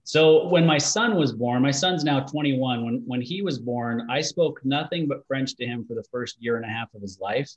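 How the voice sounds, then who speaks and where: A man's voice: medium pitch, moderate pace, hardly any pauses, rough recording. A man in his forties from Utah, hosting a business interview in a friendly, conversational way over a video call from a home office. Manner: friendly, conversational, fillers like "you know". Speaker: podcast host.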